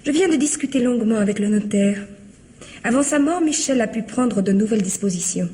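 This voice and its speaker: Casting: woman playing icy widow in a radio mystery, mellow and cutting, mockingly polite, noisy recording. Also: on the high side, expressive, fairly quick, natural voice. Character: cold, vengeful widow taunting her greedy in-laws. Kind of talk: French radio mystery drama acting.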